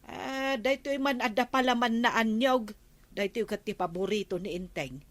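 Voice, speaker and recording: A woman's voice: fairly high pitch, moderate pace, no room sound, close to an everyday voice. A woman playing a nosy, thrifty village captain's wife in an Ilocano comedy radio drama, conversational and questioning. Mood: chatty, haggling.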